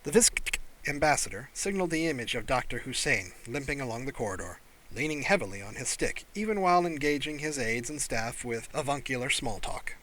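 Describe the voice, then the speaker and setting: A man's voice: medium pitch, animated, a little fast, bright, forceful tone, poor audio. A man reading a science fiction story aloud as a scripted audiobook narration.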